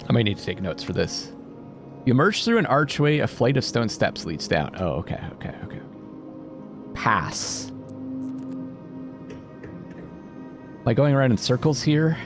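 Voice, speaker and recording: A man giving let's-play commentary, reading game text aloud dramatically and thinking out loud as he plays. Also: medium pitch, sing-song, a little slow, long pauses. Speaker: video game streamer playing a narrative game. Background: music behind the voice.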